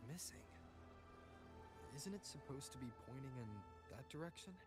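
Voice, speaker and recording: A man playing a kind, focused young hero in a video game, with an earnest, measured delivery. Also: mid-range voice, lively intonation, over music, natural voice. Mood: focused, puzzled. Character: young male hero, gentle, focused, kind to his companion. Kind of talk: video game character acting.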